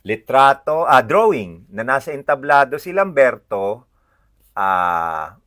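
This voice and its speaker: A man with a Filipino accent informally presenting a book's illustrations, often pausing mid-sentence to find his words. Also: medium pitch, big pitch swings, fairly bright tone. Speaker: read-aloud presenter. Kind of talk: informal commentary showing book illustrations.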